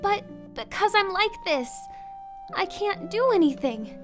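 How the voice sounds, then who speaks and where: A woman's voice: extremely high pitch, very animated, unhurried, heavily acted. A woman voicing a sulky, proud teenage schoolgirl in a wheelchair for a fan dub, pouting and defensive. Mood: subdued. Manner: pouty and defensive, stubborn. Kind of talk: fan dub of a visual novel. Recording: music playing underneath.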